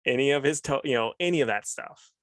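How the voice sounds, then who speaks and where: A man's voice: mid-range voice, fast, a bit bright, no room sound. Laid-back rambling man, dry sound. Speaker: podcast co-host. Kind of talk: casual chat.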